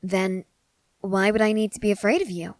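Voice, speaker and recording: A woman playing an eager, excitable scientist soldier fascinated by creatures, speaking earnestly and emphatically to the listener in an ASMR roleplay. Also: medium pitch, normal speed, dry recording, natural voice. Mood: puzzled.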